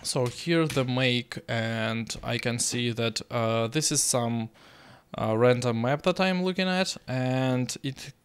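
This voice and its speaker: A young man with a Russian accent talks slowly through his coding session, pausing often on fillers. His voice is smooth and clear at a middling pitch, picked up by a desk mic at home. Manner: halting, many filler pauses. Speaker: coding streamer. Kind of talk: live coding stream chat.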